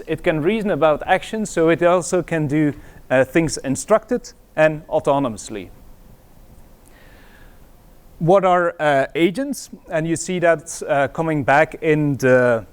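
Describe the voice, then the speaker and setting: A man's voice: on the high side, lively intonation, a little slow, clean tone. A middle-aged man from Belgium with a Belgian accent, giving a conference presentation in a hesitant way with frequent fillers and restarts. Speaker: conference speaker.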